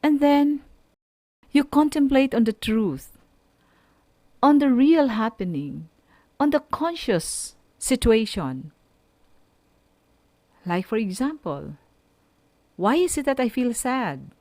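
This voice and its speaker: A woman with a Filipino accent giving an instructional radio talk with tips and examples, deliberate and emphatic, repeating key phrases. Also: on the high side, very animated, dark tone, no echo. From Philippines. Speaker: speaker giving a mental health lesson on a school radio programme.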